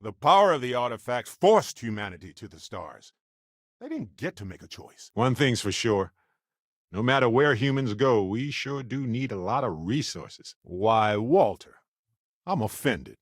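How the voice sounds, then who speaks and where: Witty space explorer, hugely expressive. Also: male voice, mid-range voice, measured pace, dry recording, clean studio sound. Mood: reflective, sincere, then teasing, conversational. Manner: wry, talkative, theatrical, expressive. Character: witty, genial male space explorer and scientist, adult. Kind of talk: video game character voice lines.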